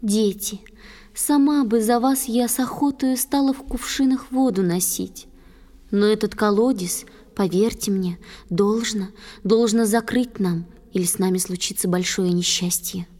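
A woman playing a gentle, sorrowful young water-spirit wife in a poetic children's audio play, speaking softly and earnestly in measured verse. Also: medium pitch, natural voice. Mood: sad, pleading.

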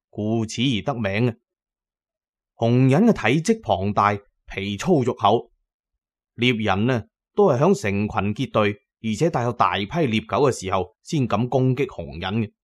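A man with a Cantonese accent narrating an audiobook as a dramatic storyteller, with colloquial asides. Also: on the low side.

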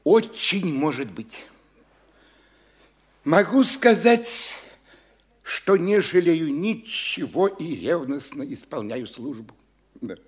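An actor playing a fawning, sly official who gossips and tells tales in an obsequious way in a classic radio play. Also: male voice, a little high, lively intonation, takes it slowly, pauses often, husky, heavily acted. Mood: tattling. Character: fawning middle-aged male official, sly telltale.

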